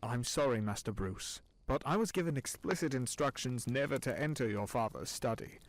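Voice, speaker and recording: A man's voice: medium pitch, animated, normal speed, lightly acted. An actor voicing a loyal older male butler who speaks politely and formally, set back from the mic. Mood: apologetic.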